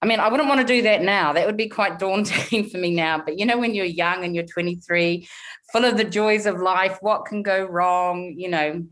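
A woman in her forties from New Zealand with a softened Kiwi accent, telling her story candidly in a podcast interview. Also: fairly low pitch, moderate pace. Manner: candid storytelling, frequent fillers and restarts. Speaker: guest, money coach.

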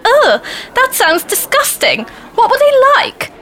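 A woman's voice: very high-pitched, huge pitch swings, unhurried, fairly bright tone, full character voice. A woman playing a chatty, squeamish wife in a comedy sketch. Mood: disgusted. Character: Emily, chatty, squeamish wife at a dinner table.

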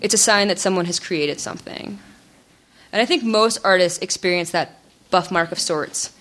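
A woman hosting a live artist talk, reading her prepared introduction in a measured way. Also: fairly low pitch, a bit bright. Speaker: event host. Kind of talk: scripted introduction at a live event.

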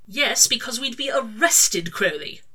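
Prim, fussy male angel for a story reading, played by a woman: formal, dignified, easily indignant, crisply bright. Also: fairly high pitch, expressive, measured pace, voice pushed up, full character voice. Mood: prim indignation. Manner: formal, proper, mildly indignant.

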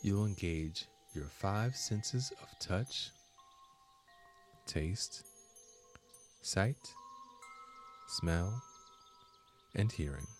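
A man narrating a scripted guided meditation as host, in measured, deliberate phrases with frequent pauses. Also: low voice, lively intonation, faint music behind.

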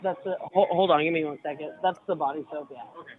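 Tinny phone-line young man, higher-pitched. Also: steady tone, fast. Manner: hesitant, filler-heavy, informal. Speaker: hotel employee taking a call. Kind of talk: casual phone conversation. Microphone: telephone.

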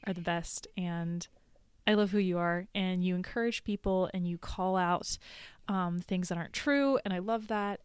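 A woman's voice: on the low side, a little mellow, clear, resonant tone, no echo, studio quality. A woman leaving a casual voice message, gushing and rambling in a slangy way. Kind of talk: casual spoken birthday voice message.

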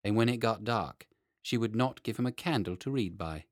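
A man reading a children's story as an expressive audiobook narrator. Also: on the low side, normal speed, no echo, studio quality.

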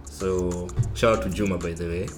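A man's voice: low voice, steady tone, moderate pace, over music. A young man from Kenya with a Nairobi accent, chatting casually and laughing often. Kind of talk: casual chat. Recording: echoey room with faint noise.

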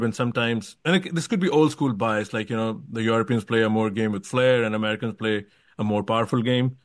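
Male podcast interviewer, medium pitch, very dry recording, casual and digressive delivery with frequent self-qualification. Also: dry recording.

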